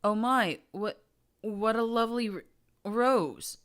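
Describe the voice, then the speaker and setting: A woman's voice: on the low side, animated, normal speed, pushed and bright. A woman voicing a pompous, deranged undead male pony prince who hisses with false gentility and breaks into screams. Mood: hissing mock-gentle. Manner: hissing, falsely genteel, erupting into screams.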